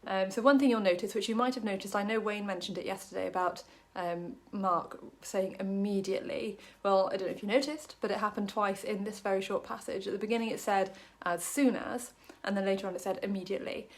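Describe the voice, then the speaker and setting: A woman's voice: medium pitch, steady tone, brisk, few pauses. A woman from Wales giving a casual, chatty Bible study talk to camera, full of ums and light jokes about herself. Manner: chatty, many ums, self-deprecating jokes.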